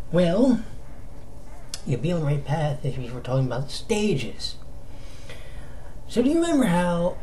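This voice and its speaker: An American man giving casual fan news commentary as a solo video host, in a halting, conversational manner with rhetorical questions. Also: mid-range voice, dark tone. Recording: noticeable background noise.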